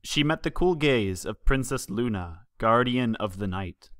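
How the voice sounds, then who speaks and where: A man's voice: medium pitch, animated, a little slow, fairly bright tone, no echo, studio quality. A man reading a story aloud as scripted narration with dramatic delivery. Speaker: fan fiction reader.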